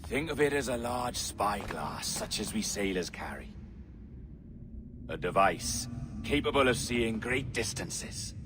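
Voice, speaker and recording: Sardonic pirate captain, swooping delivery. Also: male voice, fairly low pitch, animated. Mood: composed, explaining. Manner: theatrical, measured, sardonic. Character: commanding, cunning male pirate captain, coolly amused and menacing. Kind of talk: dramatic video game acting. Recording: music behind the voice.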